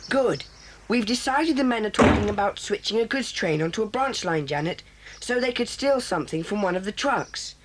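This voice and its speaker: A woman voicing a decisive boy who leads a children's club in an audio drama, briskly taking charge. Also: mid-range voice, animated, noisy background, natural voice.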